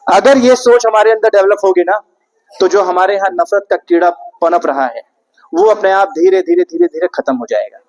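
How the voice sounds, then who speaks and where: A man's voice: high-pitched, very animated, normal speed, a little mellow, poor audio. A young man giving a public speech to an audience, rhetorical and repetitive, putting questions to the listeners. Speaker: speaker at an Independence Day event.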